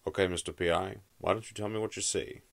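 A man's voice: low-pitched, fairly even intonation, fast talker, vocal fry, dry recording, clean studio sound. A man playing a curt, dismissive 1950s police detective in an audio drama. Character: brusque male 1950s Los Angeles police detective.

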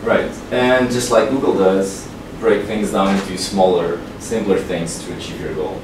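A man's voice: sits low, fairly even intonation, unhurried. A man presenting at a tech meetup, explaining steadily. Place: large meeting room with projector. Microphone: far room mic. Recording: echoey room from far off, noisy.

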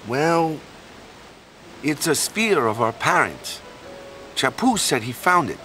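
A man's voice: mid-range voice, very animated, slow pace, bright, forceful tone, faint music behind, heavily acted. An actor playing a good-hearted, boneheaded island man in a video game, talking in a casual, folksy way with tag questions. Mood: wistful. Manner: folksy and casual, tags sentences with "yeah?" and "you know?".

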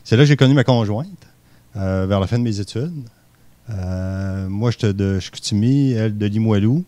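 A middle-aged man from Saguenay with a Quebec French accent speaks as a radio guest. His voice is low and recorded close and dry in a small studio. He halts and restarts often, filling gaps with hesitations. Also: dark tone, no room sound. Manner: halting, many fillers and restarts. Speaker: guest, municipal candidate. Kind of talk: radio interview. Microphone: studio mic on stand.

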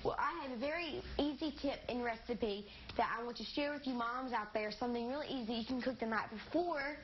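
Teenage girl, high voice, chatty cooking demo, loses words and restarts, slightly muffled TV audio, faint noise. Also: brisk. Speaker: kid cooking segment presenter. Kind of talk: casual cooking demonstration. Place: TV studio kitchen set.

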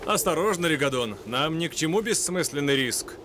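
An actor playing a courteous, unflappable lion explorer in a cartoon, speaking in a measured, formal way. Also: male voice, on the high side, lively intonation, background noise, lightly acted. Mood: calm, instructive. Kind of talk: cartoon dub. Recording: music behind the voice.

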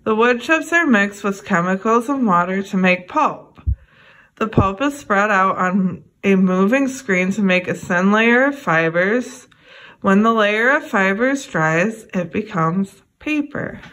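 A young adult woman reading a children's educational book aloud, addressing young listeners in a deliberate way. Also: medium pitch, lively intonation, fairly bright tone, low-quality recording. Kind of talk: read-aloud children's lesson.

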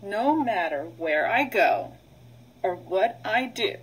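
A woman teaches young children in a read-aloud and vocabulary lesson. She goes very slowly, using short, simple phrases and leaving pauses. The lesson sounds replayed from a computer. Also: mid-range voice, lively intonation, husky, rough recording. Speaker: preschool teacher.

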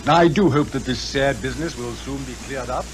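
An actor playing a polite, formal and accommodating hotel manager with a British accent in a 1950s radio drama. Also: male voice, medium pitch, fairly quick, lightly acted. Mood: courteous. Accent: British English. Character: courteous, accommodating male manager of an old hotel. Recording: 1950s radio recording with faint noise.

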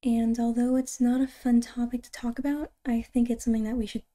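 Woman playing a very soft, mellow caring girlfriend. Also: fairly high pitch, little pitch movement, close to an everyday voice. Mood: gentle concern. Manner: soft-spoken, often breathy and near whisper, halting and tender. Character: caring, gentle, reassuring girlfriend. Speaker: ASMR roleplay performer. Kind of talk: ASMR girlfriend comfort roleplay.

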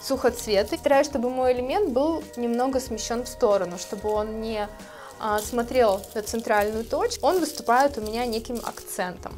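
A woman in her thirties, a florist, calmly explaining her steps in a tutorial, speaking gently to her viewers. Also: fairly high pitch, normal speed, soft background music. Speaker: florist teaching a course. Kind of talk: calm tutorial narration. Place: indoor studio with plants. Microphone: lapel mic.

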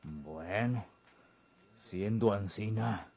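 A man's voice: fairly low pitch, drawn-out words, creaky, darkish tone, breathy edge, heavily acted. An actor playing an obedient, plain-spoken henchman with a rural Mexican accent in a radio drama.

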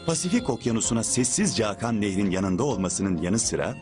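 A man's voice: on the low side, big pitch swings, fairly quick. A man narrating a children's football cartoon as a scripted storyteller. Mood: storytelling. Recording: music behind the voice.

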